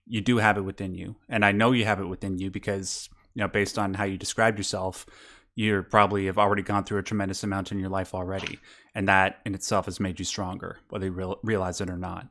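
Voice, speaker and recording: A man's voice: deep voice, level tone, quick pace, a bit bright. A man hosting a call-in stream, offering rambling, encouraging advice with lots of fillers and asides. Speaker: host. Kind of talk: casual call-in advice chat. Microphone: video call.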